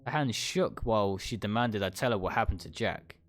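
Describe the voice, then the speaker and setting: A man's voice: fairly low pitch, a little fast, natural voice. A man voicing an obsessive, possessive young woman in a visual novel, coaxing yet commanding. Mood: spiteful, gloating. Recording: music behind the voice.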